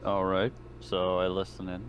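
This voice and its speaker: A man's voice: sits low, completely flat delivery, normal speed, background noise. A man chatting casually as a player in a tabletop role-playing game. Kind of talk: casual in-character game chat. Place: indoors, online voice call.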